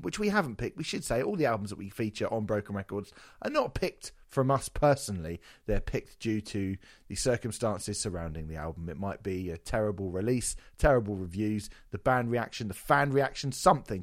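A man hosting a casual music podcast, jokey, sweary and self-deprecating, his voice a little hoarse from a sore throat. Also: on the low side, lively intonation, fairly quick, few pauses, no echo, clean studio sound. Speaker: podcast host.

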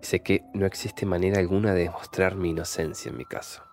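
A man's voice: deep voice, level tone, brisk, darkish tone, a little hiss or hum, close to an everyday voice. A man playing a doomed, guilt-ridden man in a horror audio drama, giving his grave, solemn final confession. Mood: grim resignation. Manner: grave, solemn, literary phrasing.

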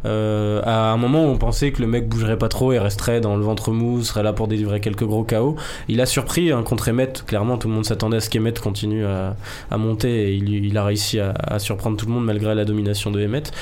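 A slightly hoarse man hosting a casual sports discussion, speaking informally and often thinking aloud as he goes. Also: on the low side, steady tone, fast, a little mellow, a little hiss or hum. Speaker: host of an MMA discussion show.

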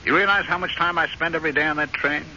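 An actor playing a frustrated, restless American husband in an old-time radio drama, sardonic, emphatic and easily riled. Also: male voice, on the high side, fast, pushed and bright. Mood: sarcastic. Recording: muffled, noisy old radio recording.

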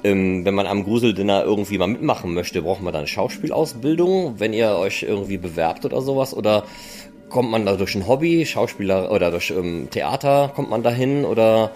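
A man's voice: on the low side, lively intonation, a little fast, a little mellow, soft background music. A man hosting a fan podcast, interviewing people in an informal, chatty way.

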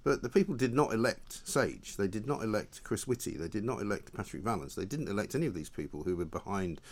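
A man's voice: lowish voice, lively intonation, quick pace. A man hosting an opinionated, conversational talk show, with mocking rhetorical questions.

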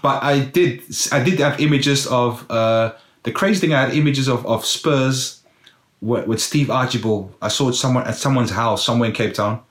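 A man's voice: medium pitch, normal speed, a bit bright. A middle-aged South African man whose first language is Afrikaans, telling his life story in an interview and acting out his past self with exclamations. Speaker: guest, former footballer. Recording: roomy echo.

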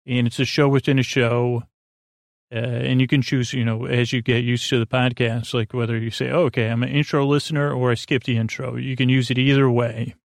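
A man hosting a sleep podcast with a meandering, goofy, halting monologue that drifts into tangents. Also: medium pitch, little pitch movement, gravelly, no echo, studio quality. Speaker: podcast host. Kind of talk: rambling bedtime monologue introducing a sleep podcast.

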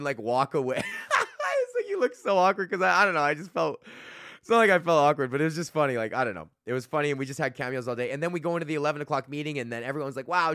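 A man's voice: fairly high pitch, a bit bright, no echo, studio quality. A young man from Canada, hosting his own podcast, rambling in a loose, profane rant packed with "like". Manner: loose and profane, heavy use of "like". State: recovering from losing his voice. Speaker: podcast host. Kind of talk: casual solo rant.

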